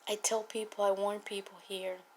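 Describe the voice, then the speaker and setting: A woman from Cuba with a Cuban accent, a native Spanish speaker, giving an earnest, emphatic personal testimony and political appeal with deliberate repetition. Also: medium pitch, level tone, a little mellow, slight background noise. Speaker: political activist speaking to viewers.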